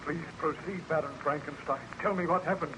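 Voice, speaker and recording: An actor playing a patient, courteous ship's captain in formal old-time radio drama, on a very noisy recording. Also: male voice, on the high side, fairly even intonation, a little fast, close to an everyday voice.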